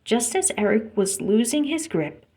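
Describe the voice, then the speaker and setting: A woman with a fairly high voice narrates a children's storybook. She reads a scripted tale aloud for young listeners. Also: big pitch swings, measured pace, darkish tone. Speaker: storybook reader.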